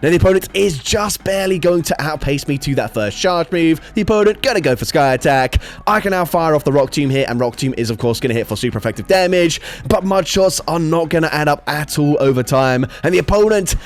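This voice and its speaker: A young man with a sore throat giving brisk play-by-play commentary on his own online game battles. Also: fairly high pitch, expressive, soft background music. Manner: brisk play-by-play with frequent fillers. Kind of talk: gameplay commentary.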